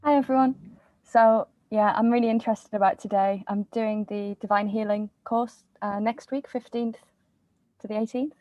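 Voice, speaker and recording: A woman's voice: medium pitch, steady tone, moderate pace, dry recording, highs cut off. A woman attending a healing webinar, telling the group with enthusiasm about the course she will take. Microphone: video call.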